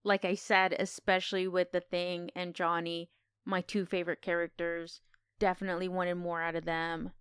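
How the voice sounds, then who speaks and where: Very clear-voiced woman, closely recorded. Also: lowish voice, level tone, moderate pace, fairly bright tone, no echo, clean studio sound. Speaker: podcast co-host reviewing a film. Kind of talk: casual film discussion.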